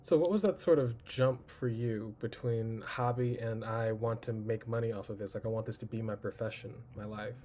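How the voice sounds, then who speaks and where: Man co-host; casual and relaxed, friendly questions, heavy on fillers. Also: fairly low pitch, moderate pace, few pauses, slight background noise. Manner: casual, relaxed, uses "like" a lot.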